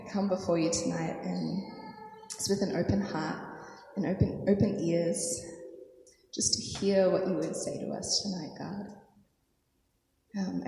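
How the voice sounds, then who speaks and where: A woman preacher with a croaky, hoarse voice from a sore throat, praying softly with many fillers, then reading scripture and preaching. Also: lowish voice. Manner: soft, hesitant prayer with many fillers. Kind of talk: sermon with prayer and scripture reading. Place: church hall. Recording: echoey hall, faint noise.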